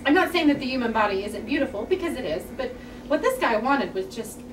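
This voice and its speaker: A woman's voice: a little high, expressive, fast talker, close to an everyday voice. Woman playing earnest young artist, echoey room. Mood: exasperated. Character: earnest, wry young female comic artist. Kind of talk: table read of a script. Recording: echoey, noisy room, distant mic.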